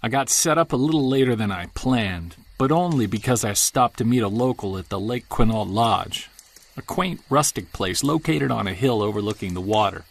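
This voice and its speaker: An actor playing a wistful separated man who hunts Bigfoot, narrating his audio-drama field journal in a creaky, conversational, earnest voice. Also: medium pitch, animated, normal speed. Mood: earnest, reflective.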